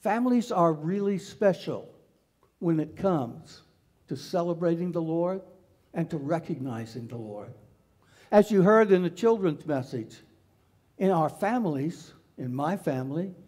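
Slow-preaching older man pastor. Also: high-pitched, lively intonation, darkish tone. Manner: slow, measured preaching with repeated phrases. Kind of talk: sermon. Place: large church sanctuary with tall windows.

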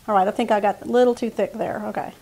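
A woman's voice: mid-range voice, steady tone, fast talker, creaky, mellow. A woman, giving friendly step-by-step baking instructions in her home kitchen. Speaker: cooking channel host. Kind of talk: casual cooking demonstration.

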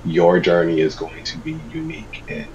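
Male guest, very low voice, a bit stuffy, dull webcam audio, calm advice-giving tone. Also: normal speed, slight background noise. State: congested from a cold. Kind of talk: casual video call chat. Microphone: video call webcam.

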